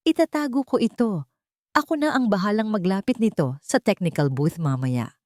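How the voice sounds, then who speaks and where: A woman storyteller puts on an old, loyal housemaid, nervous and humble toward her employers. The pitch sits high and swings about, in a dry audio drama sound. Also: animated, moderate pace, darkish tone, dry recording, lightly acted. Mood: protective. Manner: deferential, anxious.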